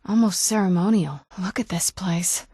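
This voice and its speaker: A woman acts out a young-looking vampire noblewoman from a fantasy video game. She is wry and guarded, a wounded daughter who pauses before her dry understatements. Her mid-pitched voice is recorded close and very dry, with no room around it. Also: dark tone, husky, no echo, natural voice. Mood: curious, matter-of-fact. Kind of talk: video game character dialogue.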